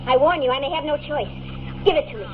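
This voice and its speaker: A woman's voice: sits high, quick pace, natural voice. An actress playing a secretive, desperate woman torn between love and duty, earnest and melodramatic in 1950s radio style. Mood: threatening. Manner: urgent, breathlessly earnest, melodramatic. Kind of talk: 1950s radio drama acting. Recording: muffled, noisy old radio recording.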